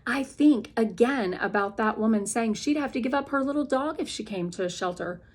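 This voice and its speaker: A woman's voice: fairly high pitch, fairly quick, lightly acted. A woman voicing an earnest, wistful preteen girl telling her story in a children's book. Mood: worried.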